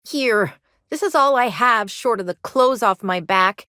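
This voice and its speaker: An actress playing an anxious, earnest mechanic in a video game, with a folksy Southern US drawl and an apologetic manner. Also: female voice, a little high, animated, measured pace, sharp tone, dry recording, clean studio sound. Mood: distraught. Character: anxious, earnest young colony mechanic woman fond of her robot.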